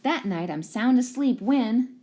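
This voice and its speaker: Woman, expressive read-aloud of a picture book for young children, teacher delivery. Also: fairly high pitch, a little slow. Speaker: teacher reading a storybook aloud.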